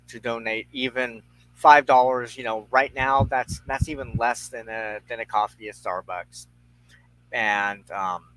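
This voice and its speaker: A man hosts an informal livestream at a slow pace. His voice sits in a middle register. He speaks deliberately, often halting to find the right word. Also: medium pitch, a bit bright, low-quality recording. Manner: halting, deliberate, searching for words. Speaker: host of a weekly online show. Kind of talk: informal livestream sign-off and appeal.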